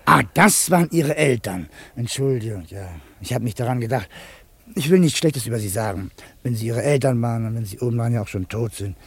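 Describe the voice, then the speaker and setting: A man playing a chatty, nosy old cemetery gardener in a 1950s German radio drama, teasing and musing in a gravelly, husky voice. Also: mid-range voice, lively intonation, a little fast, dry recording. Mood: apologetic, musing.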